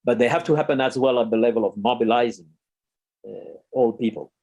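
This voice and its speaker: A man's voice: mid-range voice, brisk, darkish tone, muffled recording. Earnest man speaking at a conference. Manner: earnest, with frequent fillers and restarts. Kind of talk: spoken conference remarks.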